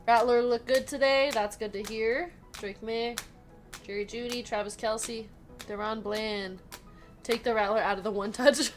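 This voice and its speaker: A woman's voice: fairly high pitch, steady tone, measured pace. A woman hosting a live card break in casual chat, laughing often as she reads out players and numbers. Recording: music playing behind.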